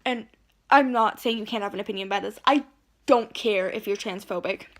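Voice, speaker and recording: A woman's voice: medium pitch, brisk. A woman ranting indignantly into her phone at home, rambling and restarting as she argues. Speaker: vlogger. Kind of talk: heated rant to camera. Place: at home, indoors.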